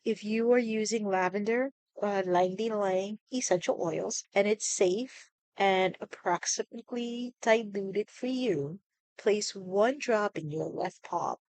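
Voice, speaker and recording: Female meditation guide, unhurried and soothing, short phrases with pauses, close dry recording. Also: medium pitch, darkish tone, dry recording, poor audio.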